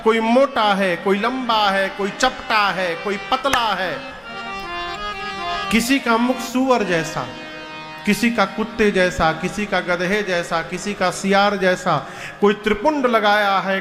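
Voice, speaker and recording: A man's voice: high-pitched, in a large hall. A man telling a devotional story as a sermon, joking and drawing responses from his listeners. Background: devotional music with instruments.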